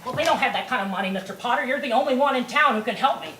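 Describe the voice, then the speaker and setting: A woman's voice: fairly high pitch, rapid-fire, a bit bright, echoey room, far from the mic, slight background noise, natural voice. A woman voicing a desperate young man who stammers and begs for help. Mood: pleading. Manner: stammering, repeats words.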